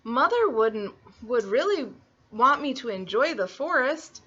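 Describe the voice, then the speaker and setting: A woman's voice: a little high, sing-song, unhurried, a bit bright, slightly rough, dull audio, heavily acted. A woman voicing a trusting, polite little girl in a storybook, earnest and wide-eyed in a sing-song way. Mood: naive cheer.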